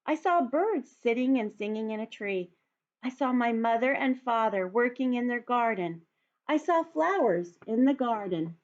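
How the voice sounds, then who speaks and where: A woman voicing a friendly, patient male frog who tells stories in a children's storybook, with measured, expressive delivery. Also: on the high side. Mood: happy. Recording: dull, lo-fi home recording.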